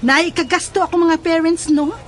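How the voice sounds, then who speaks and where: A woman's voice: very high-pitched, steady tone, normal speed. A woman playing a haughty schoolgirl in an audio drama, complaining in a whiny, drawling manner. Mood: scornful, sulky.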